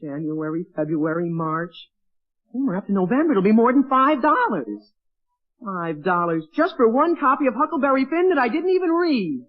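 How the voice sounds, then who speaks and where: A man's voice: very high voice, animated, normal speed. An actor playing a flustered, whiny teenage boy with a cracking voice in 1940s radio comedy style. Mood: flustered, dismayed. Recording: dull, muffled vintage radio recording.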